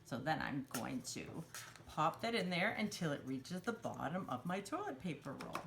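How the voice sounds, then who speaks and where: A woman's voice: low-pitched, big pitch swings, moderate pace, vocal fry, slight background noise. A woman hosting a children's storytime craft, explaining each step in simple instructional phrases for young children. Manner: simple instructional phrasing, refers to herself in third person.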